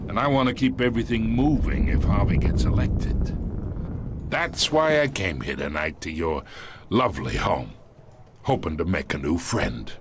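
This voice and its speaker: An actor playing a smug, menacing middle-aged mob boss in a video game, with a slangy, swaggering, taunting delivery. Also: male voice, fairly low pitch, noisy background.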